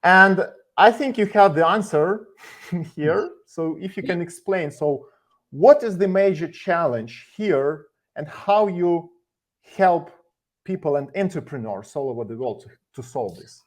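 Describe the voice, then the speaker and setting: A man from Ukraine hosting a podcast interview, talking with many pauses and fillers. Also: a little high, expressive. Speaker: podcast host. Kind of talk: casual interview.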